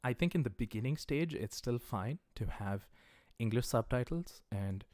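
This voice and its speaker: A man teaching a livestreamed lesson in a relaxed, conversational way, with many "uh" and "um" fillers, encouraging viewers as he recommends study resources. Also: medium pitch, normal speed, no room sound, studio quality. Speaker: language teacher.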